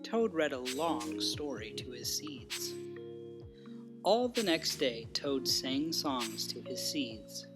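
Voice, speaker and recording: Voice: medium pitch, animated, slow. A narrator reading a scripted children's bedtime story from a picture book. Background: music.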